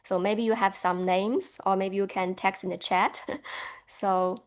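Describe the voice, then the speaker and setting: A woman's voice: mid-range voice, steady tone, a little slow. A woman from China giving a friendly academic lecture over a video call, laughing lightly now and then.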